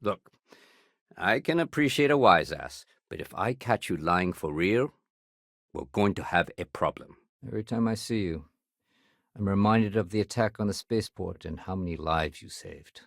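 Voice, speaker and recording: A man playing a steady, dutiful security sergeant in a video game, speaking conversationally with official firmness and dry wit. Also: deep voice, normal speed, gravelly, dry recording, exaggerated voice. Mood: wry, firm, then friendly. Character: steady, dutiful male spaceport security sergeant, dryly wry.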